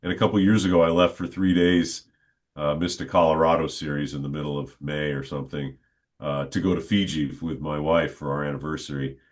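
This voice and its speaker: A middle-aged man, a stadium announcer, telling stories in a relaxed, good-humored interview. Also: a bass voice, a little fast. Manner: good-humored, reflective, talks at length. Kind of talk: casual storytelling in an interview.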